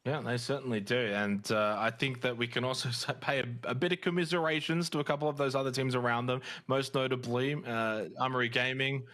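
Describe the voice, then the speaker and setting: A man's voice: medium pitch, steady tone, a little fast, few pauses, a bit bright. A man giving conversational, explanatory post-match analysis as an esports commentator. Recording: music behind the voice. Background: background music.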